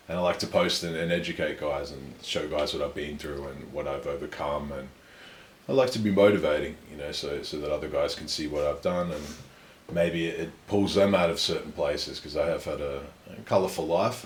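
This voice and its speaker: Young man, very low voice, self-assured storyteller, roomy sound. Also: a little hiss or hum. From Australia. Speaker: guest. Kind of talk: casual conversation. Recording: echoey room with faint ambience.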